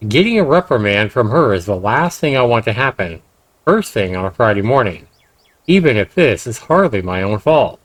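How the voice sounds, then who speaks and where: A man's voice: on the low side, very animated, normal speed, no room sound, low-quality recording. A man hosting a game livestream, reading narration aloud and dropping in casual comments. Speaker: livestream host.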